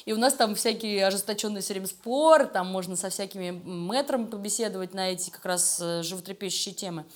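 A woman's voice: mid-range voice, fast talker. Woman in conversational, emphatic interview talk. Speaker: interview guest, animation studio creative director.

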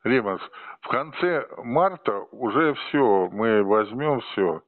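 A man's voice: mid-range voice, expressive, takes it slowly. A man in a casual phone conversation, a little hesitant, often trailing off. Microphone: telephone call. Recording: tinny band-limited phone line, dry.

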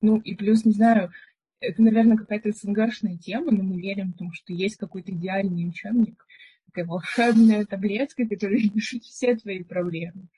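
A young woman co-hosting a podcast, telling stories in a chatty, relaxed way with lots of filler words. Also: medium pitch, normal speed, a little hiss or hum. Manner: chatty storyteller, many fillers. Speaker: podcast co-host. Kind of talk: casual chat. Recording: dull, muffled recording.

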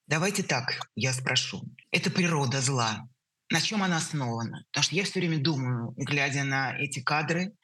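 A woman's voice: low voice, fairly bright tone, slightly rough. A woman hosting a podcast who asks probing questions, hesitating and searching for her words. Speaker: podcast host. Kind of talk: interview questions.

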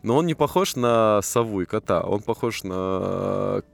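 A man's voice: fairly low pitch, lively intonation, a little slow, creaky, faint music behind. A man chatting casually and joking around as a quiz contestant. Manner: jokey, playful.

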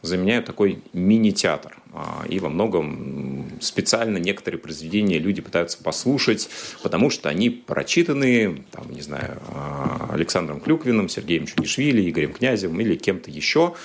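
A man co-hosting a radio show about books in a casual, conversational way, haltingly thinking aloud. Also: low voice, big pitch swings, gravelly, husky.

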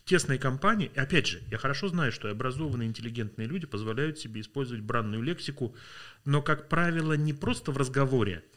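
A man from Russia talks as a podcast guest, reflective and unhurried in thought, often pausing on filler sounds. He sounds worn out at the end of a working day. His voice sits at an ordinary middle pitch. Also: a bit bright. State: tired. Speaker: linguist guest. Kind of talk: podcast interview.

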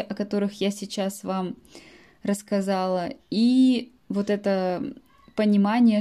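A woman in her late twenties speaks to her language students with a warm, mellow tone. She talks in a measured podcast monologue, often breaking off to define a word in plain language, with soft fillers between thoughts. Her voice sits at an ordinary middle pitch. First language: Russian. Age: late 20s. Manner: explains words in simple terms, with frequent soft fillers. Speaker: Russian language teacher.

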